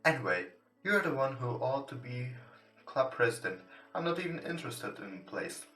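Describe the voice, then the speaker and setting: A man who is not a native English speaker, casually commentating on a game and reading its text aloud in hesitant, halting English. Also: medium pitch, sing-song. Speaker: let's play host. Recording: roomy, distant mic with music behind. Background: soft guitar game music.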